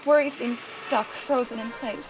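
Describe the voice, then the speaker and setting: A woman reading a rhyming children's story aloud in an expressive, gentle, deliberate way, with music behind her. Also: on the high side. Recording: prominent music under the voice.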